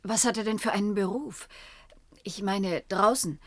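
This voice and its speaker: A woman's voice: mid-range voice, moderate pace, lightly acted. Actress as a hesitant young-sounding female attendant. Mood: anxious, questioning. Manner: hesitant, hushed, questioning. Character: timid, dismayed new female attendant, hesitant and obedient. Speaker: newly hired attendant. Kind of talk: German radio play acting.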